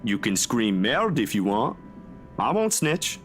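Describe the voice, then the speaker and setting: Shy laid-back young guy pal in a vn game, very animated pitch, folksy, music behind. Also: measured pace. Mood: gently concerned. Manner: informal, folksy, self-deprecating. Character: easygoing, bashful, supportive young male friend. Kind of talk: visual novel game acting.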